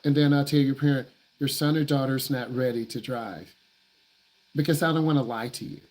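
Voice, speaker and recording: A man's voice: mid-range voice, fairly even intonation, normal speed, darkish tone. A man who works as a driving instructor answers viewer questions on a live stream in a blunt, emphatic way. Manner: blunt, emphatic, repeats himself for stress.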